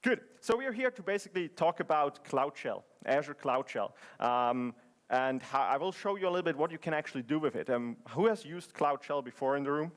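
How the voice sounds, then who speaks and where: A man's voice: a little high, expressive, brisk. Chatty man giving a conference talk. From Switzerland. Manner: chatty and joking, with many fillers and restarts. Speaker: conference speaker. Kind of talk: conference presentation. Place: conference hall.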